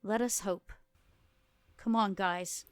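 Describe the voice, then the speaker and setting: A woman's voice: mid-range voice, fairly even intonation, takes it slowly, clean tone, no echo, lightly acted. A woman playing a nervous but defiant monster hunter with a wry, challenging manner in a tabletop game. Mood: wary defiance.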